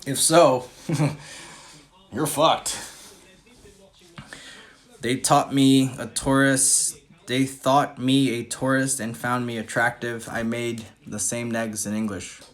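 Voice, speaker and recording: A man's voice: medium pitch, steady tone, takes it slowly, pauses often. A man hosting a live question-and-answer stream as a dating coach, reading out viewer comments in a loose, swaggering way, swearing and coughing now and then. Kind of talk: casual livestream chat reading viewer comments.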